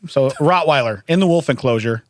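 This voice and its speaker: A man's voice: mid-range voice, lively intonation, fairly quick, dry recording, clean studio sound. A man hosting a podcast, telling stories in casual chat, laughing often and restarting his sentences. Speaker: podcast host.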